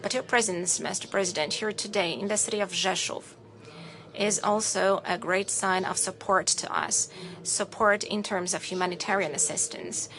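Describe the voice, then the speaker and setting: Woman interpreter, formal even delivery, mid pitch. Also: moderate pace. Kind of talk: simultaneous interpretation of a speech.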